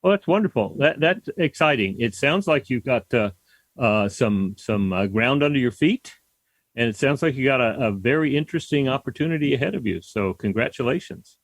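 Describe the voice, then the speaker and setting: A man's voice: mid-range voice, expressive, moderate pace, dry recording. Radio host guy, encouraging, stammers a bit, dry close mic. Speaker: radio talk show host. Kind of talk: interview host.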